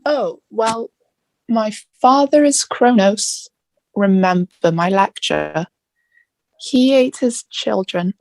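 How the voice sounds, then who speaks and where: A woman playing a dry elderly centaur teacher with a creaky voice in an amateur musical table read. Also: medium pitch, expressive, takes it slowly, poor audio. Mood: matter-of-fact.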